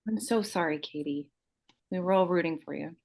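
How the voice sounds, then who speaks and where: A woman's voice: on the low side, normal speed, vocal fry, a little mellow. Woman as a gushing, insincere young beauty queen. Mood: gushing sympathy. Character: gossipy twenty-one-year-old tattooed beauty queen, falsely sympathetic. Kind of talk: comedy-horror script table read.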